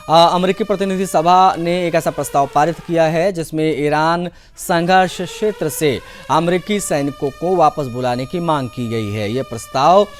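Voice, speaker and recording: A man's voice: sits high, slight background noise. A man presenting television news with commentary, in the role of anchor.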